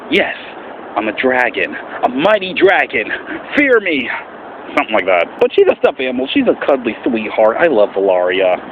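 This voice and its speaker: A man talking casually and earnestly in a vlog as an amateur voice actor, with an animated, swooping delivery. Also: mid-range voice. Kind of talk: casual vlog explanation with voice demonstrations. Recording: muffled, faint background noise.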